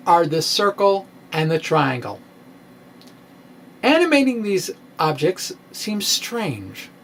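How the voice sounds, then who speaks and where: A middle-aged man presenting a science video blog in an informal, halting, self-deprecating way, with emphatic swings, close to a microphone in a room at home. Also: high voice, fairly bright tone, a little hiss or hum. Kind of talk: informal explanatory lecture to camera, part reading. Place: small room at home with bookshelves. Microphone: microphone on a stand close in front.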